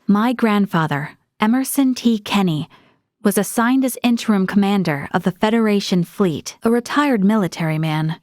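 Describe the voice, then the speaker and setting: A woman's voice: medium pitch, a little mellow, dry recording, studio quality, natural voice. An actress voicing an earnest, dutiful young female military officer in a sci-fi video game, composed and articulate. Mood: solemn, measured.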